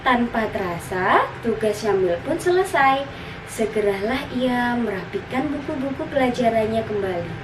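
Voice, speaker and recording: A woman narrating a scripted story for children in an expressive storytelling style. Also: a little high, moderate pace. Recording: roomy, distant, noisy recording.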